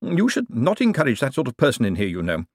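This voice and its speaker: Stiff senior clerk male reader doing him: formal, starchy, firm, disapproving, lively emphasis, audiobook comedy. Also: mid-range voice, fast talker, no room sound, clean studio sound, pitched above the performer's own voice.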